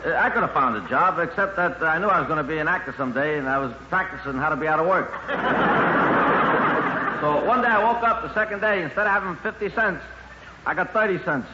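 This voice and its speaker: A man with a Brooklyn accent and a slightly high voice tells a rambling comic story in a colloquial 1950s stand-up style. The old recording is muffled and clearly noisy. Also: animated, fast talker, reverberant. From Brooklyn, New York, USA. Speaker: stand-up comedian. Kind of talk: 1950s comic monologue to a live audience.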